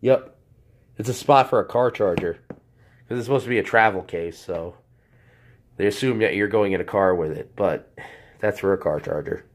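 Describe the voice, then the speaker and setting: A man with a hoarse voice from a cold, casually reviewing a product off camera while he handles it, rambling and correcting himself. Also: lowish voice, moderate pace, pauses often. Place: indoors at a table. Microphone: handheld camera, off-screen speaker.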